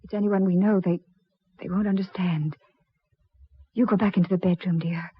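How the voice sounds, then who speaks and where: An anguished, husky young widow. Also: female voice, fairly low pitch, fairly even intonation, moderate pace. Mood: anxious urging. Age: young adult. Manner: breathless, melodramatic pleading. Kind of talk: 1940s radio acting. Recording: muffled 1940s radio recording.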